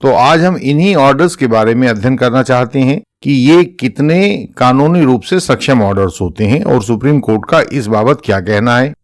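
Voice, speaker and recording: A man with an Indian accent giving an explanatory legal lecture in a measured, formal manner. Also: mid-range voice. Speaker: presenter explaining court judgments.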